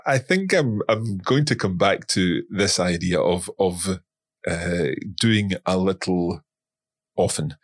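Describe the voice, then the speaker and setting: A man's voice: sits low, animated, takes it slowly, dry recording, clean studio sound. A man, a guest on a podcast, talking casually and often repeating words as he searches for them. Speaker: podcast guest, language course creator. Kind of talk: casual interview talk.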